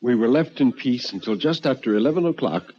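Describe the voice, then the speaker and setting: An actor playing a frightened, haunted man who tells a ghost story in a theatrical, halting way, like old radio horror. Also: mid-range voice, animated, husky, highs cut off. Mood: fearful, agitated. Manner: theatrical, halting with stammered repeats. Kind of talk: dramatic old-time radio horror narration.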